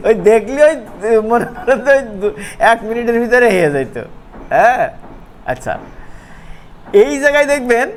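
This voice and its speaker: A man with a regional Bangladeshi Bengali accent giving an informal software tutorial, conversational and often checking that his students follow. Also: very high voice, big pitch swings, moderate pace, long pauses. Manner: conversational, often asks check-back questions. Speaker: animation course instructor. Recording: noisy room, clearly audible background noise.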